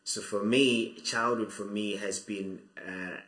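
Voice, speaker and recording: Low-voiced young man with a bright edge. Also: fairly even intonation, slow, reverberant. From Zimbabwe. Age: 20s. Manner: thoughtful, hesitant with many restarts. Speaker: guest. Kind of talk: interview, telling his life story.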